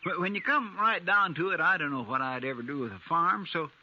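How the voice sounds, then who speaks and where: Good-natured deputy, high voice, American, folksy stammer, sly innocence, dull noisy old radio recording. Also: male voice, a little fast, pushed and bright. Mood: mock innocent. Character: folksy, sheepish, good-natured frontier deputy, slyly playing simple. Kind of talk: 1950s radio Western acting. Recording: muffled, noisy 1950s radio broadcast.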